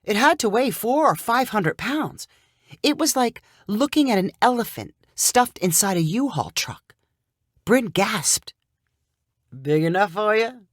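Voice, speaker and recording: A woman narrating a scripted short story in an expressive, clearly paced storyteller's manner. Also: a little high, a little slow, no room sound, studio quality.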